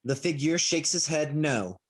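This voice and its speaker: A man's voice: fairly high pitch, animated, unhurried. A man reading out stage directions as scripted narration for a play reading. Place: indoors, remote video call.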